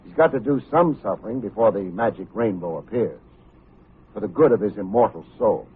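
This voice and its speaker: An actor playing a tough, wry but good-hearted private detective in old-time radio style, with a hardboiled, wisecracking manner. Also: male voice, lowish voice, lively intonation, a little fast, husky. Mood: urgent, assured. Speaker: lead actor and narrator. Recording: muffled old radio recording, music behind.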